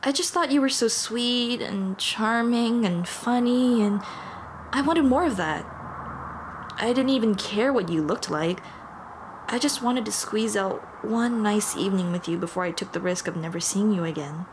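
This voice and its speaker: A woman's voice: mid-range voice, moderate pace, noisy background, close to an everyday voice. A woman playing a nervous, apologetic young female dullahan in an intimate ASMR roleplay, speaking hesitantly with stammers and self-deprecation. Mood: wistful, fond.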